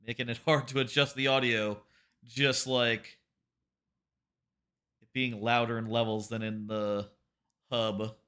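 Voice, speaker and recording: Loud, bright-voiced male streamer. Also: mid-range voice, flat delivery, a little slow, pauses often, clean tone. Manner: loud-voiced, rambling, self-correcting. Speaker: livestreamer. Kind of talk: casual live gameplay chat. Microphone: about an arm's length from the microphone.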